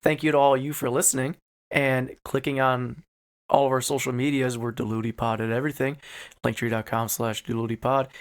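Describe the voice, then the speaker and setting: A man's voice: mid-range voice, flat delivery, fairly quick. A man co-hosting a podcast in casual chat, with an informal, jokey, easygoing way of talking. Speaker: podcast co-host.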